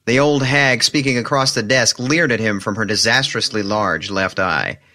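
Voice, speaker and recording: A man's voice: medium pitch, sharp tone. A man narrating fiction as an audiobook storyteller.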